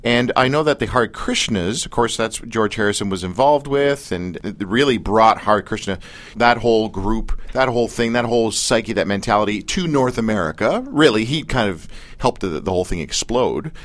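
A man's voice: on the low side, expressive, normal speed, no echo. A man hosting a live radio interview, chatting informally and musing aloud with rhetorical questions. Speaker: radio show host and interviewer. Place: radio studio. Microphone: studio broadcast microphone.

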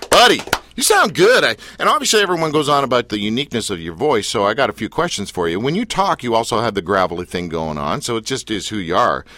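A man hosting a radio interview, asking quick questions and teasing his guest with jokes. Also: mid-range voice, big pitch swings, bright, forceful tone. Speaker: radio show host. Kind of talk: casual interview banter.

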